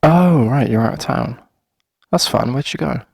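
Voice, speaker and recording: A man playing a shy, friendly, flirty coffee shop owner in a gentle ASMR roleplay, speaking hesitantly in a husky, breathy voice. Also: medium pitch, no echo, studio quality. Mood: warm, curious. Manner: hesitant, gentle, halting with soft chuckles. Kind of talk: soft conversational ASMR roleplay.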